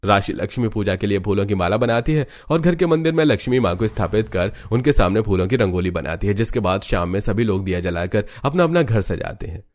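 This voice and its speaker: A man's voice: fairly low pitch, lively intonation, a little fast, hardly any pauses, darkish tone. A man delivering scripted story narration for a cartoon.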